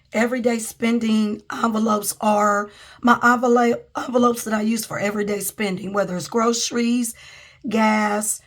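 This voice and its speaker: A woman with a cold who is losing her voice, chatting casually to viewers as a budgeting vlogger at a table. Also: a little high. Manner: chatty, addresses viewers as guys and y'all. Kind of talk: casual vlog chat. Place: indoors at a table, camera overhead.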